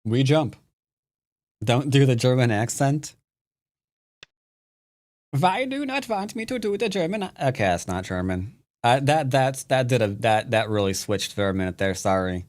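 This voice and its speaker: A man's voice: medium pitch, sing-song, normal speed, a little mellow. A man from the United States commenting live on his own Go game, playful and teasing toward his opponent. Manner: playful trash talk. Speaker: streamer and Go teacher.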